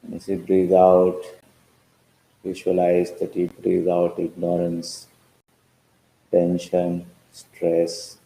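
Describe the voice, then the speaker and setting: A man leading a guided meditation as a teacher, speaking calmly in measured short phrases with pauses. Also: low voice, vocal fry. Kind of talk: slow guided meditation instruction. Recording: roomy, echoing sound.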